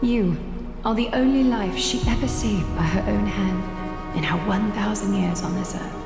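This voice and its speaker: A woman voicing a composed, gracious leader in a fantasy video game, speaking formally with measured dignity. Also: mid-range voice, gravelly, natural voice. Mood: gracious, solemn. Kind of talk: dramatic video game voice acting. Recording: echoey long reverb with music.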